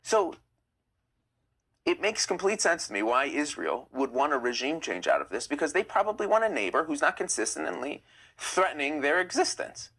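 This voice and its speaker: A young man hosting a live stream, chatting casually and reading viewer comments, opinionated and quick to get heated. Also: big pitch swings, bright, forceful tone, slightly rough. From United States. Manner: chatty, opinionated, gets heated and rises in pitch.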